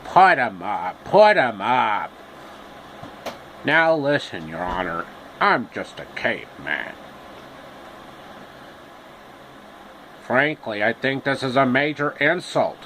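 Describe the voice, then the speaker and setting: A man voicing a cowardly lion from a storybook, blustering yet sheepish, with drawn-out, repetitive phrasing. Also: medium pitch, big pitch swings, fairly bright tone, a little hiss or hum, rough recording.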